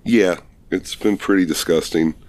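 A man co-hosting a casual podcast, his voice husky and hoarse from a cold, talking in a laid-back, rambling, self-mocking way. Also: low-pitched, measured pace, a little mellow. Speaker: podcast co-host.

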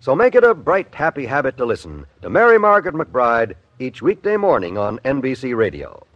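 A man's voice: medium pitch, huge pitch swings, a little fast, no room sound. A man announcing on 1950s radio, reading scripted promotion in a polished, salesmanlike way. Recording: dull vintage radio broadcast recording.

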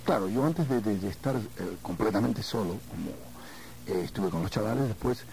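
A mature man from Argentina reminiscing in a conversational interview, haltingly, repeating words as he searches for the next. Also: lowish voice, lively intonation, vocal fry, warm tone, slight background noise, poor audio. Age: middle-aged or older. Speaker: singer being interviewed.

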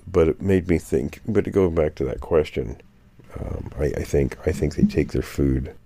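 A man's voice: extremely low pitch, normal speed, vocal fry, mellow, slightly rough, no room sound. A man talking casually on a podcast, recounting field finds in a rambling way with many restarts. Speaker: guest anthropologist.

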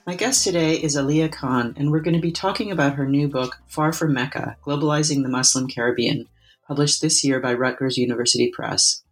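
A woman hosting a book interview podcast, reading a scripted introduction, then asking measured questions with some hesitation. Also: extremely low pitch, poor audio. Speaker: podcast host.

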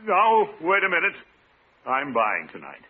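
An actor playing a pompous, penny-pinching, middle-aged suitor who blusters and mutters asides in an old-time radio comedy. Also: male voice, on the high side, wildly swooping pitch, measured pace, breathy edge, full character voice. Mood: indignant. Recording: tinny, noisy vintage radio recording.

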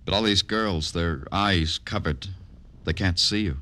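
Deep-voiced, hard-boiled radio detective. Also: male voice, measured pace, bright, forceful tone. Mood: wry, probing. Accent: American. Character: tough, terse male police detective narrating his case. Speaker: radio drama lead actor and narrator. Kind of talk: old-time radio crime acting.

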